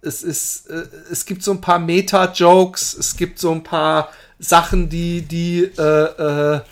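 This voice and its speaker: A man's voice: a little high, level tone, slow pace. A rambling, playful man hosting. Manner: rambling, self-interrupting, playful. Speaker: podcast host. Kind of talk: casual chat about films and series.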